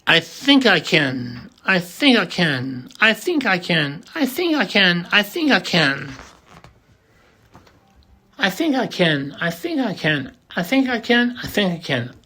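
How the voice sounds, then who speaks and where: A man's voice: high-pitched, very animated, slow pace, bright, forceful tone, voice pushed up, exaggerated voice. A man voicing a small, kind and cheerful female toy engine from a storybook, chanting steadily. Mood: determined.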